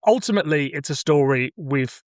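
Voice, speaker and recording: A man's voice: a little high, animated, a little fast, dry recording, studio quality. A man co-hosting a podcast, giving casual opinions on audio drama in a blunt, informal, sweary manner. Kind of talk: casual review discussion.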